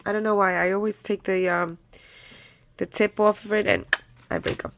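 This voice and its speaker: A woman's voice: medium pitch, fairly even intonation, normal speed, vocal fry, background noise. A woman with a head cold and a nasal voice, chatting casually through a craft supply haul with lots of ums and likes. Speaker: crafting channel host. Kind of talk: casual haul video chat.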